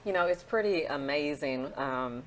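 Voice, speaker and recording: A woman's voice: deep voice, lively intonation, normal speed, low-quality recording. A woman who is a pastor, hosting an interview and affirming her guest often. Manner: affirming, talkative, many "mm-hmm"s. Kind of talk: interview host.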